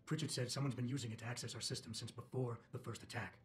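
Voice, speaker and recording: An actor playing a terse, guarded augmented security chief in a video game, with deadpan, clipped delivery. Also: male voice, medium pitch, quick pace, a little hiss or hum, natural voice. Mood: suspicious, probing. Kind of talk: video game cutscene acting.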